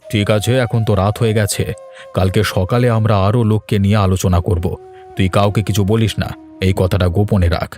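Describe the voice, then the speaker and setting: A man voicing a grave, commanding village leader in a fairy tale cartoon, measured and authoritative. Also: lowish voice, fairly even intonation, darkish tone, pitched below the performer's own voice, close to an everyday voice. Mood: grave, cautious. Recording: voice over background music.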